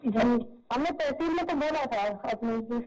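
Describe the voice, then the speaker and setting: High-pitched woman official on a recorded call. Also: moderate pace, darkish tone. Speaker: government election official. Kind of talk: explaining procedure on a phone call. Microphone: phone call recording replayed at press conference. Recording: played-back recorded phone call.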